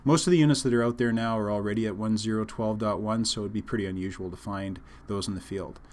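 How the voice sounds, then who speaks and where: A man explaining a technical system as a trainer, in an unscripted, halting instructional style. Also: on the low side, steady tone, darkish tone.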